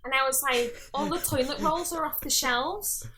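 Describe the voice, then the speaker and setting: Bright young Scouse woman. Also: high-pitched, normal speed, a little hiss or hum. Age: early 20s. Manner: animated storyteller who acts out dialogue. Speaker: podcast guest. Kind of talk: casual chat, anecdotes.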